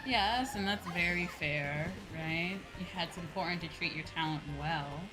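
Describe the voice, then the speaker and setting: Woman streamer w a lowish voice, chatting casually n opinionated, swears a bit, game music behind her. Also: a little slow, low-quality recording. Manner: opinionated, frequent tag questions, some swearing. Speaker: VTuber streamer. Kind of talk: casual livestream chat while gaming. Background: video game music.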